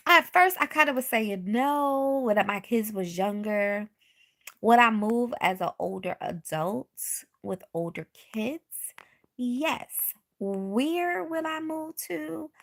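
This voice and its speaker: A woman's voice: fairly high pitch, slow. Chatty Bronx woman with a Southern touch. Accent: Bronx New York with some Southern. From the Bronx, New York. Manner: chatty, lively, talks straight to viewers. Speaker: livestream host reading comments. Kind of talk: casual livestream chat. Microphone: phone.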